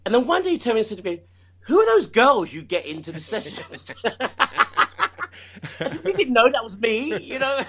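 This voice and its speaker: A man's voice: very high voice, very animated, moderate pace, long pauses, a bit bright, breathy edge. A man talking casually as an interview guest, rambling and repeating himself, laughing often. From United Kingdom.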